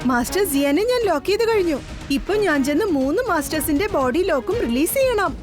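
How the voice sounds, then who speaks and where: A woman's voice: high voice, big pitch swings, fast talker. A woman voicing a brave, righteous young boy hero in a children's cartoon, earnest and resolute. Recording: background music under the voice.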